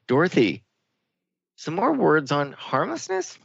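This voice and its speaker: A man co-hosting a spiritual talk radio show in a reflective, halting, conversational way, with slightly dull sound. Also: a little high, huge pitch swings, gravelly, fairly bright tone, no echo. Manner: reflective, halting, searching for words.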